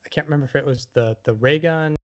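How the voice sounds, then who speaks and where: A man's voice: fairly high pitch, fast, lo-fi sound. A man hosting a casual podcast interview, talking in an informal, conversational way. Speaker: podcast host, small label owner.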